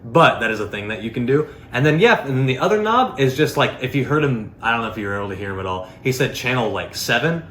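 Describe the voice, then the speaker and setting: A man's voice: mid-range voice, lively intonation, quick pace, hardly any pauses, a bit bright. A man giving a casual, unscripted product demo, rambling informally and peppering his talk with filler words. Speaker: product reviewer. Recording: roomy echo, faint background noise.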